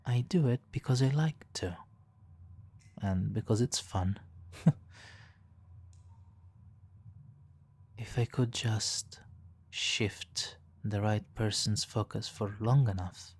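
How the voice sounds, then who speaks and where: Man as a slow, silky, smug supervillain. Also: on the low side, slightly rough, full character voice. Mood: seductive, smug. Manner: condescending, purring, drawn-out pauses, soft chuckles. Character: suave male supervillain, teasing, smug, hypnotically controlling. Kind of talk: ASMR roleplay, soft intimate monologue.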